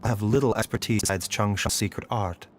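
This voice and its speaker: A man playing a composed, courteous physician in a game drama, speaking in a formal, grave, measured way. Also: on the low side, fairly even intonation, a little hiss or hum, lightly acted. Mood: clinical calm.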